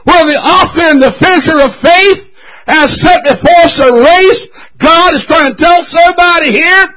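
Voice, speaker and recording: A man preaching an impassioned sermon in church, shouting with his voice breaking from emotion. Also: extremely high pitch, low-quality recording. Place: church service.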